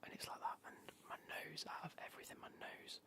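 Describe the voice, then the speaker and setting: A man chatting in a whisper while streaming a game, with a cold coming on. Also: brisk. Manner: whispered, chatty, repeats phrases. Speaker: gaming streamer. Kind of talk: whispered ASMR gaming livestream.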